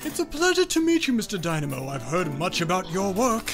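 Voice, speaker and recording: An actor playing a prim, fawning estate executor in a comic radio play, fussy and formal. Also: male voice, sits high, wildly swooping pitch, fairly quick, a bit bright, faint music behind, full character voice. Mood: courteous.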